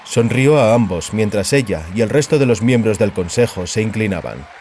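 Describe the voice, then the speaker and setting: A man's voice: on the low side, lively intonation, normal speed, darkish tone, a faint crowd, a little hiss or hum. A man narrating a science fiction audiobook in a scripted, dramatic storytelling style.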